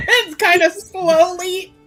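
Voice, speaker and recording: A woman's voice: very high-pitched, drawn-out words, a bit bright, faint music behind. A woman chatting casually and playfully as a tabletop game player, often repeating her phrases.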